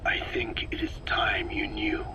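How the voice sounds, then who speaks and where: An actor playing a solemn, grave old man in a video game, speaking haltingly in a whispery, gravelly voice. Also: natural voice. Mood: grave, hushed. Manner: slow, deliberate, halting delivery. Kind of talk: dramatic game voice acting.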